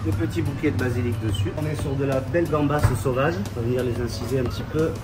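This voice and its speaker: Brisk chef explaining dishes, off-mic. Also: male voice, mid-range voice, steady tone, distant mic. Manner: brisk, describes each step plainly. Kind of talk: explaining cooking steps. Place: restaurant kitchen. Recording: off-mic in a kitchen with loud music.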